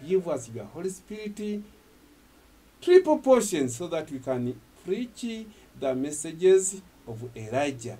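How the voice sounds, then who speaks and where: A man's voice: on the high side, sing-song, takes it slowly, dark tone. An older man whose first language is Swahili, preaching and praying emphatically in English at a studio desk. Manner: emphatic, gestures while preaching. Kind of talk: sermon closing and prayer. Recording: distant from the mic.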